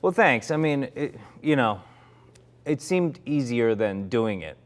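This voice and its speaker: A man's voice: mid-range voice, lively intonation, unhurried. A man in his forties, an actor, reflecting candidly in conversation, often restarting his sentences. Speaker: actor, interview guest.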